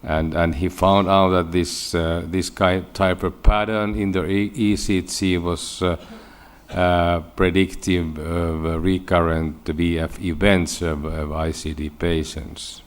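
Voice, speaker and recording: A man from Finland presents research at a medical conference in a low-pitched voice. He has a Finnish accent and speaks haltingly, often restarting and repeating words as he explains. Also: steady tone, clean tone. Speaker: medical researcher giving a lecture.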